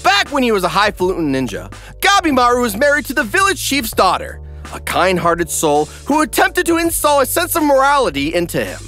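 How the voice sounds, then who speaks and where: A man's voice: very high voice, huge pitch swings, fairly quick, bright, forceful tone, soft background music. A man delivering scripted comedic narration in a theatrical, sarcastic manner as a recap host.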